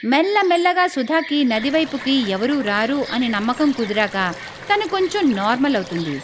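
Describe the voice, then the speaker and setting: A woman's voice: sits high, sing-song, normal speed, noisy background. A woman narrating a scripted moral story in a storytelling style.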